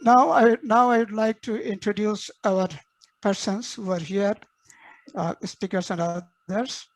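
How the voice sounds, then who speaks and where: A woman's voice: medium pitch, steady tone, unhurried, dry recording. A woman hosting an online meeting, formally calling on attendees by name and introducing the speaker. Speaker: meeting host. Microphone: video call.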